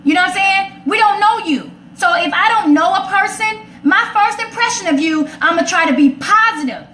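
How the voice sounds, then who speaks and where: High-voiced, direct woman in an echoey room. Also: expressive, moderate pace, sharp tone. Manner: direct, opinionated, emphatic repetition. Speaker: talk show host addressing live comments. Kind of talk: casual, personal relationship talk. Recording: echoey room, faint background noise.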